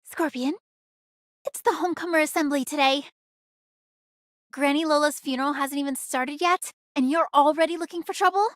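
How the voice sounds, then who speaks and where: Woman acting a high-voiced, feisty young woman. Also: moderate pace, long pauses, dry recording, clean studio sound, close to an everyday voice. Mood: reproachful. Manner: emphatic, scolding. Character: feisty, indignant young woman, pirate-world rebel. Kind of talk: anime video game voice acting.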